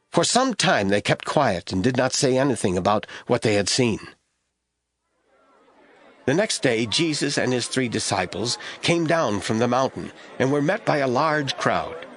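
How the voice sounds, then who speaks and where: A man reading scripted Bible narration as an expressive storyteller for an audio drama. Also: medium pitch, unhurried, faint music behind, a faint crowd.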